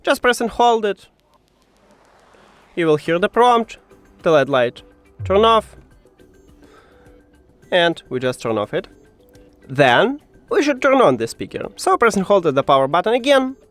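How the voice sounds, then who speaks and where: A man's voice: high-pitched, big pitch swings, unhurried, pauses often, soft background music. A man who speaks English as a second language, presenting a step-by-step how-to tutorial with simple, instructional phrasing. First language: not English.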